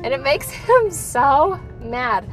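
A woman in her thirties talks loosely and casually, sprinkling in fillers and the odd swear word. Her voice sits a bit high, and music plays clearly behind her. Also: sing-song, slow, low-quality recording. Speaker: podcast co-host. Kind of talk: casual chat.

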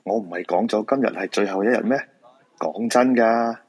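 A man's voice: fairly low pitch, moderate pace, darkish tone, husky. An actor playing a middle-aged feng shui master and proud father in a live radio drama, with a Hong Kong Cantonese accent. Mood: casual, defensive.

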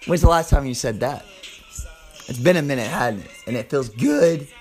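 A man with a Southern US accent and a slightly hoarse voice, hosting an informal sports podcast like a hyped showman, stretching words and repeating phrases. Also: mid-range voice, animated, normal speed, darkish tone, faint music behind. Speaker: podcast host.

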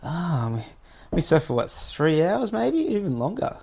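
A man's voice: fairly high pitch, sing-song, a little slow, vocal fry, a little mellow, husky. An Australian man in casual chat, laid-back and laughing often. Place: tiny home in the woods.

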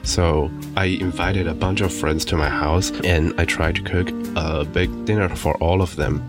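Deep-voiced young man over guitar. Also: fairly even intonation, measured pace. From Sichuan, China. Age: young adult. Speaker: interview guest. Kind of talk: casual personal storytelling. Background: prominent plucked guitar music.

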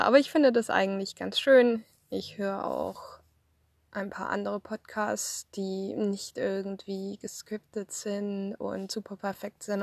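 A woman's voice: medium pitch, gravelly, dry recording. A woman in her thirties from Swabia, talking off the cuff in a personal podcast, rambling with lots of fillers, recorded in a car. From Swabia, Germany. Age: 30s. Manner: rambling, with many fillers and laughs. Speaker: podcast host. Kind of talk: unscripted personal podcast monologue.

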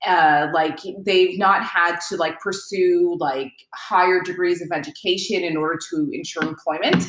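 A woman's voice: fairly low pitch, steady tone, normal speed. A woman talking casually and at length in a conversation, with lots of fillers and restarts. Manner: talkative, many fillers and restarts. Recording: roomy echo.

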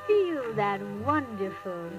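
A woman in an old radio commercial, speaking slogans very slowly and emphatically. Music plays behind her. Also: on the high side, big pitch swings, lo-fi sound. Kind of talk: scripted 1940s radio advertisement.

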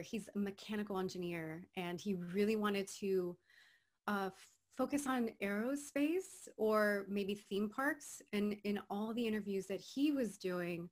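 A woman's voice: mid-range voice, fairly bright tone. A woman from Miami, Florida, a voice actor who is a guest on an interview podcast, talking in an upbeat way and laughing often. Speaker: guest, voice actor and agency owner. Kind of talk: conversational interview.

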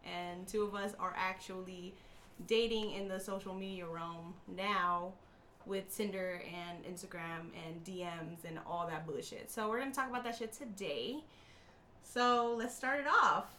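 A woman hosting a casual podcast chat, blunt and quick to swear and laugh. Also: mid-range voice, fairly even intonation, bright, forceful tone, slight background noise. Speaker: podcast host.

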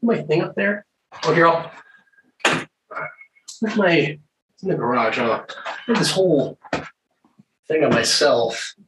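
A man's voice: a little high, lively intonation, measured pace, long pauses, noisy background. A man in casual chat who rambles, swears freely and laughs often.

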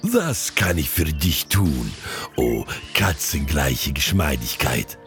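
Ein Mann spielt in einem Fantasy-Hörspiel einen höfischen Wahrsager, der blumig, ehrfürchtig und mit gedehnten Worten schmeichelt. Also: male voice, a bass voice, faint music behind, exaggerated voice. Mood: courtly flattering. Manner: ornate, reverent flattery with drawn-out words. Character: flowery, courtly male desert fortune-teller and tobacco seller. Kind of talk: fantasy audio drama acting.

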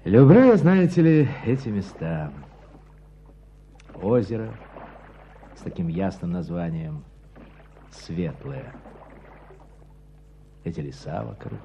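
A man's voice: low-pitched, sing-song, slow, long pauses, mellow, heavily acted. A man acting in a radio drama as a reflective writer out hunting, speaking lyrically and without hurry. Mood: dreamy, admiring. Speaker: narrator, a writer and hunter. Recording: dull sound, outdoor ambience noise.

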